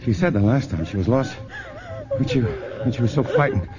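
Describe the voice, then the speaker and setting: An actor playing a frantic man shouting in search of his missing girl, in a 1950s radio drama. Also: medium pitch, wildly swooping pitch, moderate pace, music in the background. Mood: anguished. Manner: shouting names, urgent and anguished. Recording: muffled old radio broadcast with loud hiss.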